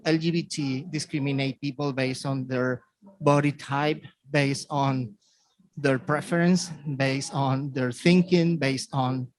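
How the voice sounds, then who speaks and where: A man's voice: mid-range voice, no room sound, rough recording. Venezuelan-accented man presenting, dry close sound. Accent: Venezuelan Spanish. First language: Spanish. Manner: halting, simply phrased second-language English. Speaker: community presenter. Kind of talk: conference presentation.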